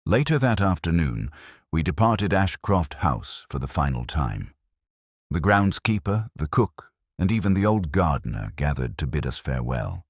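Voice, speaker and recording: Very deep-voiced man narrating, dry close sound. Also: big pitch swings, moderate pace, slightly rough, no echo. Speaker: audiobook narrator. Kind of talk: scripted narration of a Victorian detective story.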